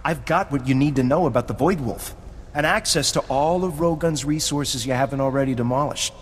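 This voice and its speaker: A man's voice: mid-range voice, a little fast, close to an everyday voice. A nervous, groveling gangster informant, voiced by a man, stammering and fawning as he pleads to be kept on. His voice carries a long reverb over a faint hiss of ambience. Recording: game audio with long reverb, faint noise.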